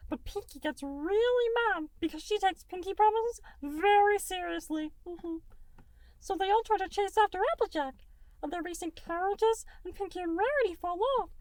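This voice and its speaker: A woman voicing a childlike male cartoon goo creature, high-pitched and rambling with eager enthusiasm. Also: lively intonation, moderate pace, no echo. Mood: eager, excited. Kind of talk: breathless rambling cartoon retelling.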